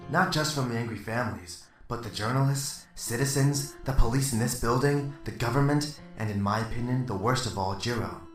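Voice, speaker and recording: A man's voice: medium pitch, fairly quick, few pauses, lightly acted. A man playing a restrained, by-the-book police detective in a radio drama, speaking firmly and with control. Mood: grave. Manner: firm, controlled, reproachful. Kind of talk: amateur radio drama acting. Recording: roomy, slightly distant, music underneath.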